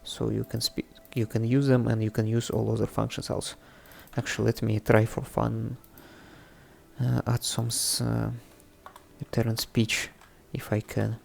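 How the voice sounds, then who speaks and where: A man presenting a casual, unscripted coding tutorial, thinking aloud haltingly in non-native English. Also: lowish voice, flat delivery, vocal fry. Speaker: presenter of a programming tutorial series. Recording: faint background music under the voice. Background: faint music.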